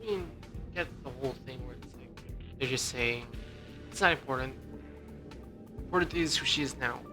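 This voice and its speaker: A woman's voice: very deep voice, moderate pace, over music. A woman streaming a game, giving casual, offhand, rambling reactions.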